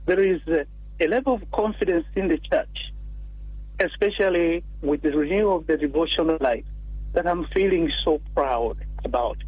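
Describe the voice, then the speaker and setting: A man from Uganda, a priest, speaking earnestly and warmly as a radio interview guest, pausing and restarting often. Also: a little high, breathy edge, no room sound. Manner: earnest, warm and heartfelt, with pauses and restarts. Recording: dull, dry radio studio sound.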